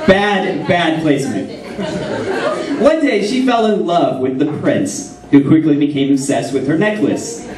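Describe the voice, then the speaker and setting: A man performs a playful stage routine for a crowd, his voice sitting high and bouncing around a big hall. He jokes and laughs between lines, sounding distant from the mic. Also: expressive, measured pace. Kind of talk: live stage routine with audience. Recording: roomy hall sound from far off.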